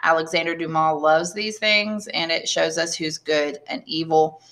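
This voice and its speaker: A woman's voice: low-pitched, moderate pace, fairly bright tone. A woman English teacher giving a plain, didactic lesson and narrating a book. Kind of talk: explanatory lesson and audiobook narration.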